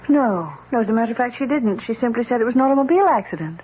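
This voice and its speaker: A woman's voice: a little high, lively intonation, rapid-fire, natural voice. An actress playing a kindly, emotional middle-aged lady in an old-time radio drama, speaking in a genteel, hesitant, effusive way. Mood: anxious, hesitant. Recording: muffled, hissy old radio recording.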